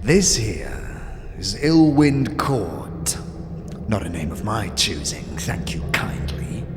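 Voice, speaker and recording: An actor playing a withered, sly and contemptuous old underworld boss in a fantasy audio drama, creaky and often dropping into breathy, taunting whispers. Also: male voice, fairly low pitch, sing-song, background noise. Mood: sly storytelling. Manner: theatrical, taunting, drawn-out phrases with street slang. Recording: long hall reverb, music behind.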